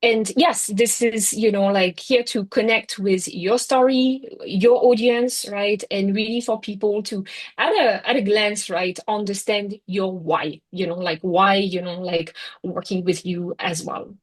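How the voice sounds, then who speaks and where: A woman's voice: mid-range voice, fairly even intonation, a little slow. A middle-aged woman with a French accent gives an online workshop talk in a chatty way, filling her sentences with "you know, like" and "right?". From France. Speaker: workshop speaker. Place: Singapore.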